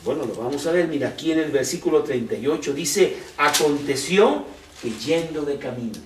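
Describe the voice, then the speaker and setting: Slow lively preacher guy, asks lots of questions, sounds far from the mic in an echoey room. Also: a little high. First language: Spanish. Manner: slow, conversational, with rhetorical questions. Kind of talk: sermon. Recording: roomy echo, off-mic, faint background noise.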